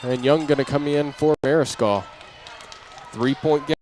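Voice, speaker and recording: A man's voice: on the high side, a little slow, a little mellow, some chatter behind. A man doing live audio play-by-play of a basketball game in clipped running phrases that lift on big plays. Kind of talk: live radio-style sports commentary. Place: indoor basketball gym during a game. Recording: clearly noisy live gym audio. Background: gym ambience, bouncing basketball.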